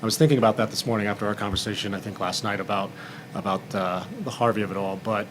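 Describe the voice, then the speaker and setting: A man's voice: on the low side, steady tone, fast, background noise. A man speaking candidly and informally as a producer in a roundtable discussion, explaining things in plain words. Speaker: film and TV producer on a panel.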